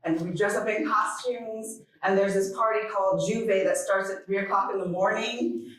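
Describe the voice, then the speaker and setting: A woman from Jamaica giving an informal keynote speech, conversational and reflective, with deliberate pauses. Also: mid-range voice, fairly even intonation, fairly bright tone. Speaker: keynote speaker at a conference. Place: large echoing conference hall. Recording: very echoey hall, distant microphone.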